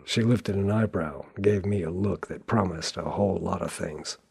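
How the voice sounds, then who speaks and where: An actor playing a wry, world-weary private detective who narrates a noir radio play in a sardonic, deadpan way. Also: male voice, deep voice, moderate pace, vocal fry, breathy edge, heavily acted. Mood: wry, brooding. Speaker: narrator and lead character.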